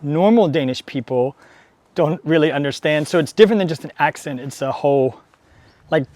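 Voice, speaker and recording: Relaxed man with a higher voice. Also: normal speed, no echo. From Georgia, US. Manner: relaxed, explains and fills in for his partner. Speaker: garden show co-host. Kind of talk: casual garden tour chat. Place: outdoor garden.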